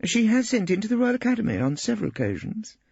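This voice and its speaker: A man voicing a prim, tart middle-aged wife in a high, fussy voice. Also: huge pitch swings, fast, vocal fry, darkish tone, breathy edge, no echo, dull audio, full character voice.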